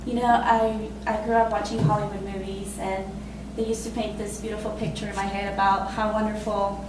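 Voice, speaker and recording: A woman in her twenties from Costa Rica, telling her own story in a casual, halting way with many fillers and laughs. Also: medium pitch, flat delivery, very echoey, distant mic, background noise. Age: 20s. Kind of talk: personal spoken testimony.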